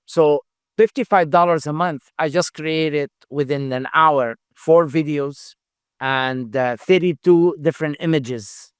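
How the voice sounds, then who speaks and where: Mężczyzna w średnim wieku, angielski nie jest jego pierwszym językiem, odpowiada z wahaniem, suche nagranie. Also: male voice, a little high, clear, resonant tone, no echo, studio quality. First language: not English. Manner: halting, repeats words, many uh fillers. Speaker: founder and CEO, podcast guest. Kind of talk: casual interview answers.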